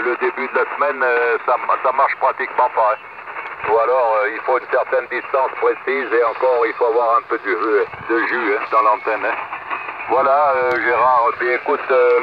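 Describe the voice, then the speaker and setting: A man chatting casually as an amateur radio operator, in a hesitant, talkative way with frequent question tags. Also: medium pitch. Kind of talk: casual ham radio chat. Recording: dull lo-fi audio, loud radio noise.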